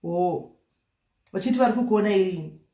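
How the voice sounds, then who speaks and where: A woman's voice: mid-range voice, fairly quick, mellow. A Zimbabwean woman, a native Shona speaker, hosting a live prank-call show in casual chat that mixes languages. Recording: echoey room.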